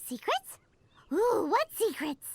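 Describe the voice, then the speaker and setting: A woman's voice: extremely high pitch, very animated, takes it slowly, background noise, full character voice. A woman voicing a tiny, perky, nosy fairy companion in a video game, exclamatory and childlike. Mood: curious, nosy. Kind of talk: video game character dialogue.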